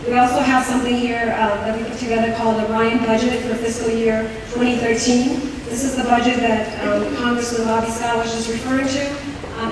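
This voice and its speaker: A woman explaining benefit rules at a town hall meeting, speaking hesitantly with many ums and restarts. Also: on the high side. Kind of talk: informal public talk with many fillers. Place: large echoey meeting hall. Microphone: room mic heard from a distance. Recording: echoey hall recording from far off with background noise.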